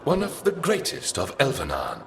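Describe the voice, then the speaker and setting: An actor playing a haughty, condescending ancient spirit in a video game, speaking in a formal, disdainful way. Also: male voice, mid-range voice, wildly swooping pitch, normal speed, creaky. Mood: reverent, grand. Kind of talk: video game character acting. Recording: music behind the voice.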